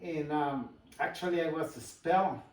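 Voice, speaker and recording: Older Guatemalan-accented man, higher pitched. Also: darkish tone, reverberant, recorded from a distance. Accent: Guatemalan Spanish. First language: Spanish. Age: 60s. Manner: halting, personal storytelling with pauses and restarts. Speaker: business owner guest. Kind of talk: casual interview.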